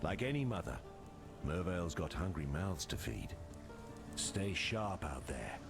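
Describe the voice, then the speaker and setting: An actor playing a blunt, sardonic camp guard in a dark fantasy video game, with music behind him. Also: male voice, sits low, very animated, measured pace, heavily acted. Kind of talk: video game character dialogue.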